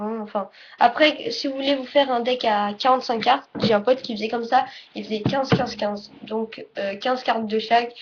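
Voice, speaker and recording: A woman giving a casual, unscripted tutorial as presenter, halting and often correcting herself or trailing off mid-sentence. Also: on the high side. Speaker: presenter of a card game tutorial. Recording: dull lo-fi audio, faint noise.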